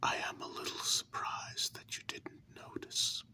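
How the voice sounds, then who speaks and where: A man voicing a hurt human lover confronting a vampire partner in a whispered ASMR role-play, with earnest, deliberate, drawn-out words. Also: pushed and bright. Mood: reproachful, insistent. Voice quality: breathy unvoiced whisper.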